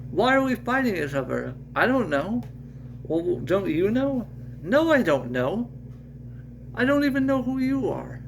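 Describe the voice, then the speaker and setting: A bewildered male crash survivor with no memory , acted out by a man reading a story, bickers and exclaims in a voice pushed up high. The home recording is noisy and hums. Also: sing-song, measured pace, creaky, full character voice. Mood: agitated, bewildered.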